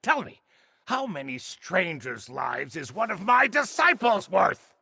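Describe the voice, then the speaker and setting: An actor playing a bitter, hard-nosed senior craftsman in a video game, speaking in a scornful, deliberate, theatrical way. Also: sits high, very animated, gravelly, bright, forceful tone, slightly rough, no room sound, clean studio sound, full character voice. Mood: cold, defiant. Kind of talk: dramatic video game voice acting.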